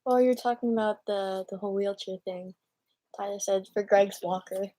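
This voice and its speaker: A woman co-hosts a live stream, chiming in with short, easygoing remarks. She reads viewer comments aloud in a relaxed, conversational way. Her voice sounds dry and close, with little room around it. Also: medium pitch, normal speed, dry recording. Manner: brief, relaxed interjections and chat read-outs. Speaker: co-host reading viewer chat. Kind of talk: casual live-stream chat.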